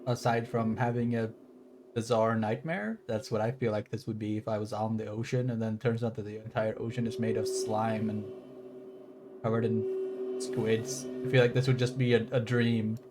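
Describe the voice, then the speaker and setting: A man's voice: fairly low pitch, fairly even intonation, moderate pace, background noise. A man streaming a game, chatting casually and rambling, easily surprised by what he sees. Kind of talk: casual live commentary.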